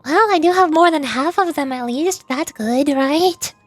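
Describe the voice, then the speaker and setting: A woman game streamer with a very high voice and a playful, cutesy manner. She chats at an unhurried, slow pace over game music, as on a livestream. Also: no echo. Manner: playful, cutesy little sound words. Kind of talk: livestream gameplay chat with voiced-aloud dialogue.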